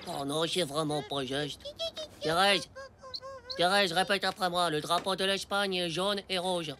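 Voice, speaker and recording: An actor voicing a cheeky, excitable ten-year-old schoolboy in a French cartoon, with slangy, indignant exclamations. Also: mid-range voice, measured pace, background noise, natural voice. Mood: frustrated. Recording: loud music underneath.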